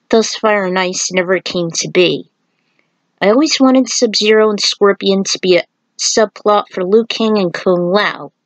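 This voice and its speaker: A woman presents her own podcast in a chatty, fan-to-fan manner. She takes her time with the words, at a medium pitch. The sound is dry, with hardly any room on it. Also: no echo, rough recording. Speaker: podfic creator and host. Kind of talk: podcast introduction, partly read author's note.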